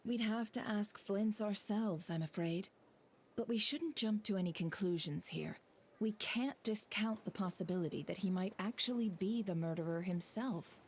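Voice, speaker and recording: A woman voicing a composed, analytical female investigator in a video game, speaking in a measured, deliberate, formal way. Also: mid-range voice, a little mellow, slight background noise, close to an everyday voice. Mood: calm, analytical.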